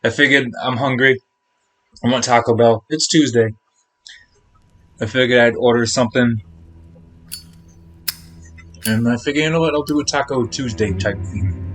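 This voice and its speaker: A man's voice: mid-range voice, moderate pace, pauses often, fairly bright tone. A man chatting casually on a live game stream, rambling to himself as he eats. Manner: rambling, talking to himself while eating.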